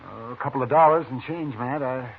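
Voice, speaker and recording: Folksy frontier doctor played by an actor, muffled radio. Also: male voice, medium pitch, fairly quick, gravelly, lightly acted. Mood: matter-of-fact. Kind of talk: 1950s radio western acting. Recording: muffled, noisy 1950s radio recording.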